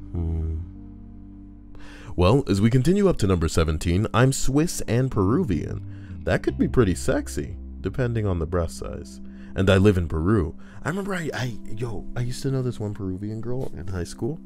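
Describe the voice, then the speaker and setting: A deep-voiced man on a casual livestream, reading posts aloud and jumping between them with crude jokes. His low voice swings widely in pitch as he reacts, with soft music underneath.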